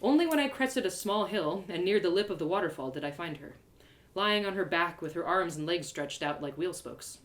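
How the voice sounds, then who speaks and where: Animated woman reading a fantasy story. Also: on the low side, fairly quick. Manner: theatrical, animated delivery. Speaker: author reading her story aloud. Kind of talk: expressive first-person fantasy story narration.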